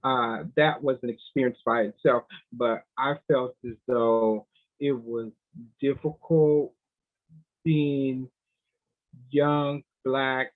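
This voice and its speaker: An older man from the United States, the guest in an interview on a video call, speaking in a measured way with many pauses. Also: medium pitch. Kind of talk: relaxed interview answers. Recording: tinny phone-like line.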